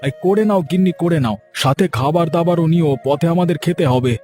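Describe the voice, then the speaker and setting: Man doing a kindly cartoon husband n dad, highish hearty voice, expressive, soft music under. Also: brisk, close to an everyday voice. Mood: cheerful, reassuring. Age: adult. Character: genial, indulgent husband, businessman and father. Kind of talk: cartoon voice acting.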